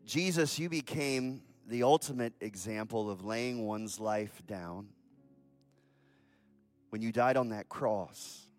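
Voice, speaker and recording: Earnest man praying from the pulpit. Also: lowish voice. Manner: earnest, solemn prayerful delivery. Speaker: pastor. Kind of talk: church announcement and prayer.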